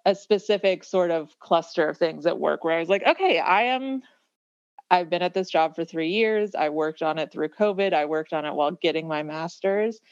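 A woman's voice: fairly low pitch, normal speed, no echo, highs cut off. A woman of about thirty talking reflectively in a casual podcast conversation, building her points through repeated phrases. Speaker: podcast guest.

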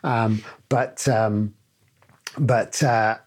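A man's voice: fairly low pitch, fairly even intonation, very slow. A man with an English and Welsh accent, a guest in an interview, talking casually with many fillers and restarts. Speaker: guest, security director. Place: studio in London.

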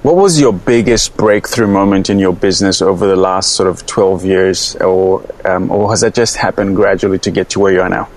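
A man hosting a conversational interview, feeling his way haltingly into each question. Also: deep voice, darkish tone. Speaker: podcast host, interviewer.

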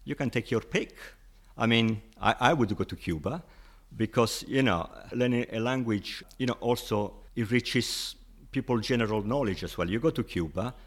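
A man's voice: medium pitch, normal speed. Italian-accented man teaching Spanish. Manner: explains patiently, with frequent "you know". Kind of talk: interview guest.

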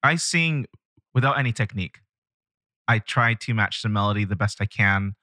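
A man with a lowish voice hosts a relaxed podcast conversation. He asks curious, rambling questions in an easygoing way. The sound is close and dry. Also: normal speed, dry recording. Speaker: podcast host.

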